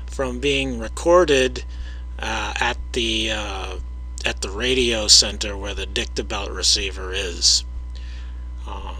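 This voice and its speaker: A man narrating his own video, explaining his audio analysis in a measured way, with frequent hesitations and direct words to the viewer. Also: mid-range voice, bright, forceful tone, breathy edge, poor audio.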